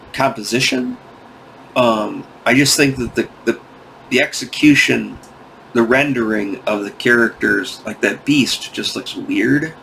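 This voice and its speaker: A man from the United States hosting a comic book video, rambling casually, tired and going off on tangents, with some gravel in his voice. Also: medium pitch, fairly bright tone, a little hiss or hum, poor audio. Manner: meandering, restarts often, goes off on tangents. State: tired, yawning.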